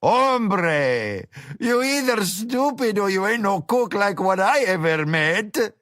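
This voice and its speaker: A man's voice: high-pitched, very animated, slow, no room sound, clean studio sound, voice pushed up, heavily acted. A man voicing a burly, mocking male restaurant owner who speaks English. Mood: gruff mocking. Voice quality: loud, brash, laughing.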